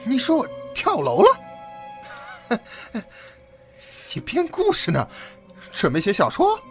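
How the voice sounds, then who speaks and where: A man's voice: extremely high pitch, wildly swooping pitch, slow pace, faint music behind, exaggerated voice. An actor playing a prickly, stubborn, indignant young man in an audio drama.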